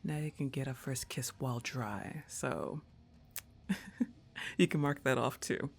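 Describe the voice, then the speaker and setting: A woman acting as a young goth roommate in an intimate ASMR roleplay, laid-back and affectionately teasing. She talks slowly, with a lot of creaky fry in her voice, in a casual conversational way. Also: extremely low pitch, heavily acted. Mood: soft, teasing, affectionate.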